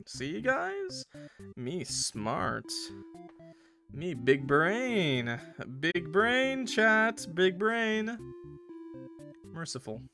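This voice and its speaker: A man chatting and commentating on a livestreamed game in an excitable, playfully combative way, bantering with viewers and swearing freely. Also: high-pitched, extremely slow, gravelly, long pauses, music in the background. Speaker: live streamer playing a game. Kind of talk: animated casual livestream chat and game commentary.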